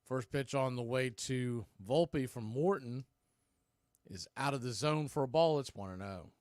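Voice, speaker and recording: An American man doing live baseball play-by-play in clipped, punchy calls with pauses, mixed with casual fan chat. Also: mid-range voice, a little mellow, no room sound, clean studio sound.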